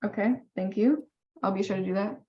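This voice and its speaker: Young woman chiming in on an online meeting, earnest and polite, mid pitch, muffled lo-fi audio. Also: a little fast, a little hiss or hum. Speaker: volunteer committee member. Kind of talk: informal meeting discussion. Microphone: teleconference call. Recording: dull, lo-fi conference call audio.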